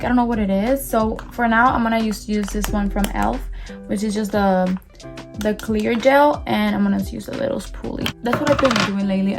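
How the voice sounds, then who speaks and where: A young woman in her early twenties, born in Cuba with Spanish as her first language, talks through a makeup routine in English. Her pitch sits in the middle, and her chat is loose and rambling, full of restarts. Faint music plays underneath. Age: early 20s. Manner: chatty, rambling, lots of fillers and restarts. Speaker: beauty vlogger. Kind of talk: casual get-ready-with-me chat.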